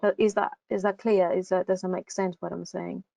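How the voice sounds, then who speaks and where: A woman's voice: lowish voice, little pitch movement, brisk, vocal fry, warm tone, no room sound. A Greek woman with a Greek accent, speaking English as a second language, gives casual advice for a university recruitment session. She hesitates often, with many fillers and restarts. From Greece.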